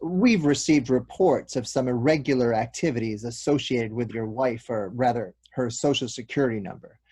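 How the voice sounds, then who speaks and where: A man's voice: medium pitch, moderate pace, darkish tone, breathy edge. A man playing an earnest, slightly awkward police detective in a comic play read aloud. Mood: formal, questioning. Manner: formal, apologetic. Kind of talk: table-read stage comedy acting.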